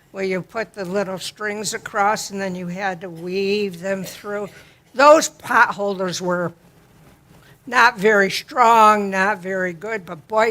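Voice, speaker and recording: A woman addresses a church congregation in an unhurried, deliberate way, lingering over her words. She speaks in a middle range that she herself calls low, with a plain, homespun manner. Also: a bit bright. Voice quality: describes her own voice as low. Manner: slow, deliberate, homespun delivery. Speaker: church member speaking at a worship service. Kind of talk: gentle spoken reflection and hymn lead-in. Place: church sanctuary.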